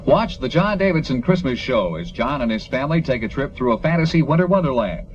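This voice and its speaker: A male promo announcer reads a scripted plug with lively, varied pitch. His mid-range voice comes through a dull lo-fi broadcast. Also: fairly quick.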